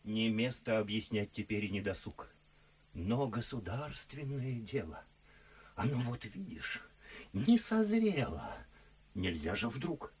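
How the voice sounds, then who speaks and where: An actor playing a boastful, good-natured, foolish gentleman in a radio play, gushing and confiding in theatrical verse with a husky voice. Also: fairly low pitch, sing-song, slow, full character voice. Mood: self-important, secretive. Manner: gushing, exclamatory, conspiratorial, drawing words out.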